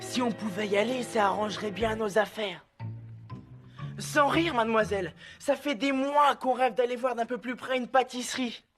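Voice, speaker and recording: A woman playing a cheeky, excitable schoolboy in a French cartoon dub, smooth-talking and full of exclamations. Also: a little high, moderate pace, soft background music, natural voice. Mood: wheedling.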